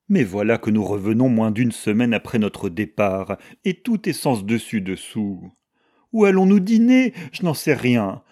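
A man's voice: medium pitch, dark tone. A man acts out a fussy housewife in an audiobook novel. The voice is lifted and emphatic, with a plaintive, whining tone of endless lament.

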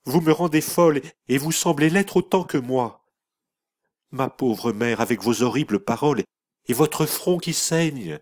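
A man's voice: deep voice, fairly even intonation, unhurried. A man reading an audiobook voices an innocent young girl who pleads in anguish. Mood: pleading.

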